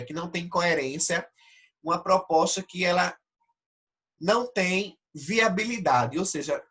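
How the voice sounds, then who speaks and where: A man's voice: a little high, a bit bright. A male teacher with a Brazilian Portuguese accent, nasal from allergic rhinitis, explains essay criteria step by step in an online class and keeps checking with his students.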